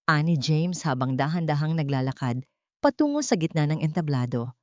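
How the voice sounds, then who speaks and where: A woman's voice: low-pitched, expressive, moderate pace, no echo, lo-fi sound. A woman narrating a scripted drama audiobook in a theatrical, suspenseful way.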